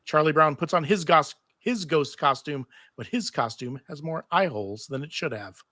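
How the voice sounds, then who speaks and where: A man's voice: a little high, expressive, moderate pace, bright, forceful tone, no room sound. A man reading stage directions as narrator at a live scripted table read.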